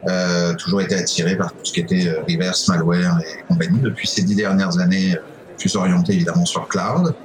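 A middle-aged man with a French accent from France, answering interview questions informally in a halting, digressive way with frequent self-corrections. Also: sits low, fairly even intonation. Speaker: conference speaker, cybersecurity specialist.

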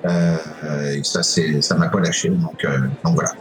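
A middle-aged man with a French accent from France, answering interview questions informally in a halting, digressive way with frequent self-corrections. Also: low-pitched, steady tone. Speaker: conference speaker, cybersecurity specialist.

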